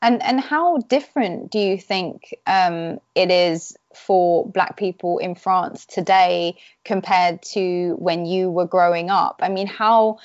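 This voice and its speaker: A woman's voice: fairly low pitch, slow pace, hardly any pauses, dry recording. Woman interviewer, dry muffled sound. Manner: probing, hesitant phrasing. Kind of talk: interview questions.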